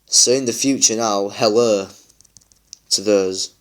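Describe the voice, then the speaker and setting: A man talks loosely over his gameplay, his voice a little low and worn croaky from a long session. He rambles with plenty of fillers, friendly and unscripted. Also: slow. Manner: rambling, chatty, many fillers. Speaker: gaming YouTuber. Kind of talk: casual gameplay chat.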